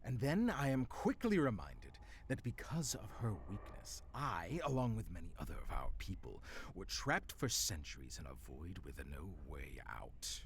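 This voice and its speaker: An actor playing a menacing ancient supernatural villain in an audio roleplay, condescending and deliberate, with a gravelly, often whispery voice. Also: male voice, lowish voice, big pitch swings, a little hiss or hum. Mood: bitter menace. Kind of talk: dramatic audio roleplay acting.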